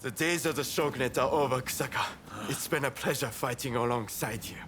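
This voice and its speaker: A comradely rebel samurai voiced by a man in a game cutscene. His mid-pitched voice is set against clear background noise. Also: fairly quick, natural voice. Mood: urgent, sincere. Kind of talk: video game cutscene acting.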